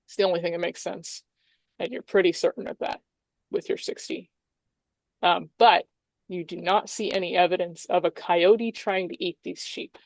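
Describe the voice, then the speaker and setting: A woman's voice: lowish voice, steady tone, normal speed, gravelly, no room sound. A woman game master improvising tabletop game narration in a conversational, explanatory way.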